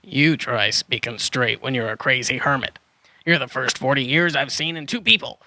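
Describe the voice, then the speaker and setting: Man playing a sharp, gravelly, crazy old hermit dinosaur. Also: medium pitch, normal speed, dry recording, rough recording. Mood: quirky, chatty. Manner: muddles and swaps word order, then corrects himself. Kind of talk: children's church audio drama.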